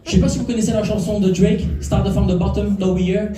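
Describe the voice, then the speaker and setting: A man's voice: high voice, fairly even intonation, fast. A man doing a stand-up routine on a club stage, teasing the crowd and working the audience. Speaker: stand-up comedian. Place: comedy club stage before a live audience. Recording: echoey room, from far off.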